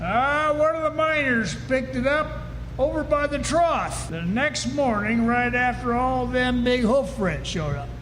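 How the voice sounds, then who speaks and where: An actor playing an earnest, plain-spoken frontier rancher with folksy, emphatic delivery in a live western radio drama. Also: male voice, extremely high pitch, lively intonation, measured pace, a bit bright, full character voice. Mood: agitated, insistent. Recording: noisy echoey hall, live stage performance.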